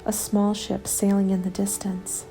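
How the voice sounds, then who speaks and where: A woman narrating a scripted first-person ASMR story in a soft, measured, often whispery delivery. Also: medium pitch, flat delivery, low-quality recording. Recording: background music under the voice. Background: music.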